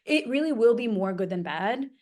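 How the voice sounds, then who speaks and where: Woman polyglot chatting casually, animated, lots of likes and fillers. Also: on the high side. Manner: animated, quick, thinking aloud with fillers. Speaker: polyglot co-host. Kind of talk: casual conversation.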